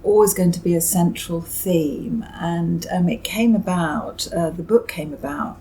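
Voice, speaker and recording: A woman's voice: on the low side, a little slow, mellow, slight background noise. A middle-aged or older British woman giving thoughtful, somewhat hesitant interview answers as a novelist.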